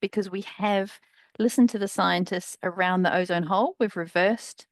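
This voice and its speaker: A woman's voice: medium pitch, steady tone, moderate pace. A woman from New Zealand giving a friendly, fluent presentation on a webinar video call. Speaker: sustainability consultant presenter.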